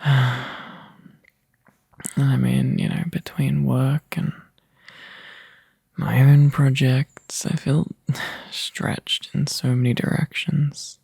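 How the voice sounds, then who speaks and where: Guy acting as a worn out tender boyfriend for asmr, really breathy and husky, slow halting talk, up close. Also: mid-range voice, level tone, a little mellow, dry recording, full character voice. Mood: weary, apologetic. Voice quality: breathy, husky, often whispering. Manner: halting, drowsy, sighing delivery. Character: tired, overworked young boyfriend, tender and appreciative. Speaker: ASMR roleplay performer. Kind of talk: soft, intimate ASMR boyfriend roleplay. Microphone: close microphone, dry.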